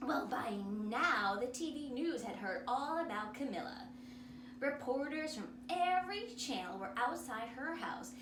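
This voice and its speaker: A woman with a high voice reads a picture book aloud like a drama teacher, expressive and unhurried. She is heard a little away from the mic in a roomy space. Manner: theatrical, expressive. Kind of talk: animated children's storytelling. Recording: roomy, distant mic, noisy background.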